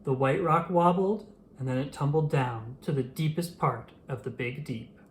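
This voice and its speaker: A storyteller reading a children's picture book aloud in an expressive, animated way. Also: mid-range voice, normal speed, slight background noise, low-quality recording. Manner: animated, acts out the dialogue.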